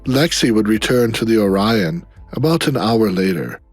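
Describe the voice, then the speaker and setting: Male actor as drama storyteller, low voice, scripted narration, faint music underneath. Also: lively intonation, normal speed, husky. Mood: narrating.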